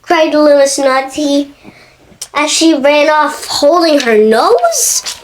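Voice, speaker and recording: A woman's voice: high-pitched, sing-song, slow, poor audio. A six-year-old girl reading a picture book aloud expressively, stumbling and correcting herself now and then. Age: child, six years old. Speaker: young storybook reader.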